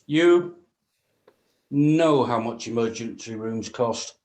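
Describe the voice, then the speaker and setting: A man acting a gruff, callous, domineering stepfather in a script read, scornful and given to exasperated orders. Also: medium pitch, slow, darkish tone, natural voice. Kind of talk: actor's script table read.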